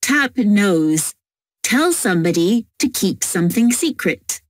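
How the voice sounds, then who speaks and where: Woman textbook narrator, slow and measured, dry studio sound. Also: mid-range voice, sing-song, no room sound.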